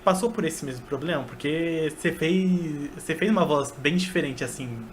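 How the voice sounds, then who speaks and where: A Brazilian man hosting a casual podcast interview, speaking in a hesitant, halting way as he asks questions. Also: on the high side.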